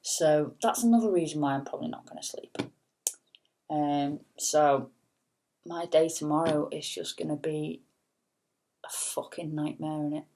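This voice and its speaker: Soft low-voiced woman. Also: unhurried, gravelly, pauses often. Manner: chatty, full of fillers and casual swearing. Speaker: singer and vlogger. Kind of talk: rambling late-night chat.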